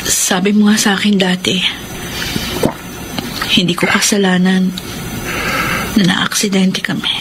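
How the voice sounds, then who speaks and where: A woman playing a gentle, caring young aunt in a Filipino radio drama, tender and emotional. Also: mid-range voice, level tone, unhurried, pauses often, heavy background noise, close to an everyday voice. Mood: consoling.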